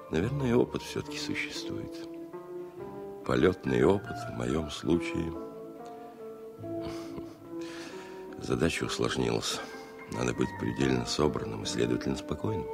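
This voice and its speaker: A seasoned male pilot thinks aloud in a radio drama. His voice is very deep and creaky, and he speaks slowly and stubbornly, steadying his own nerves. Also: full character voice. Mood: calm, musing. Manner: deliberate, musing, self-talking. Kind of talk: 1970 radio play monologue.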